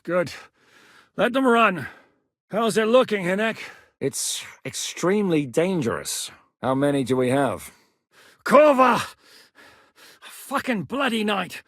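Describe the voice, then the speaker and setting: An actor playing a crude, earthy middle-aged warlord in a video game, blunt and coarse and swearing freely. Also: male voice, high-pitched, big pitch swings, takes it slowly, long pauses, fairly bright tone, no echo, clean studio sound, full character voice. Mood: commanding. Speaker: game character voice. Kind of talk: recorded video game voice lines.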